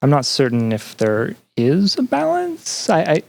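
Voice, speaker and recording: A young man answering as a podcast guest in an earnest, conversational way, haltingly repeating phrases as he thinks. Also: fairly high pitch, huge pitch swings, vocal fry, a little mellow. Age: young adult. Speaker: podcast guest, student and teaching assistant.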